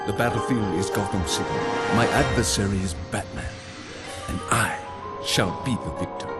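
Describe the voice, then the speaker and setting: A man acts out a scheming warlord villain with a low voice and a grand, measured delivery. He declaims his plans of conquest like a cutscene monologue, over loud music. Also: close to an everyday voice. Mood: menacing resolve.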